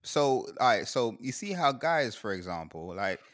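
A man's voice: lowish voice, big pitch swings, normal speed, fairly bright tone, dry recording, clean studio sound. A young man from Guyana in casual chat, rambling and laughing often as he talks.

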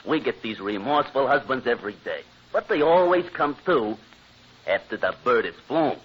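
A man's voice: mid-range voice, lively intonation, moderate pace, breathy edge. An American actor playing a smug, slangy, needling tough guy in an old-time radio comedy. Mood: pushy. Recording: muffled, noisy old radio transcription.

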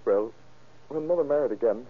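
An actor playing an earnest, uneasy young stepson who answers hesitantly in an old-time radio crime drama. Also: male voice, medium pitch, animated, brisk, phone-quality sound, natural voice. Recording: thin, scratchy old radio recording.

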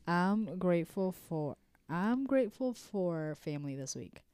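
Low-voiced woman podcast host with a cold. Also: a little slow, clear, resonant tone, no room sound. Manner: animated, laughs often, repeats words for emphasis. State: congested with a cold. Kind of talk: casual chat.

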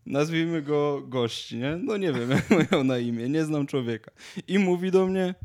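A man's voice: on the high side, animated, normal speed, darkish tone. A man, chatting casually as a podcast co-host, often repeating words and restarting. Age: 20s. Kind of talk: casual chat, anecdotes.

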